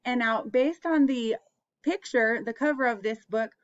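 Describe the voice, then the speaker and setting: A woman's voice: fairly high pitch, unhurried, muffled recording. A woman hosting an interactive picture-book read-aloud for young children, asking them questions and encouraging them as she goes.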